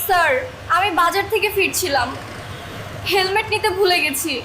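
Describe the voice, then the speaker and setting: A woman's voice: very high voice, normal speed, noisy background. A woman playing a meek, wronged young sister with a pleading, plaintive manner in a dramatised moral story.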